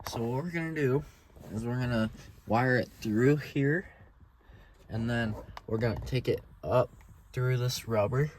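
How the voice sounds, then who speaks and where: Regular guy doing a hands-on how-to, medium pitch, plain casual talk, noise and clicks behind him. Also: a little mellow. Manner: plain-spoken, informal. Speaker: DIY presenter. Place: in and around a pickup truck. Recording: clear background noise and handling clicks.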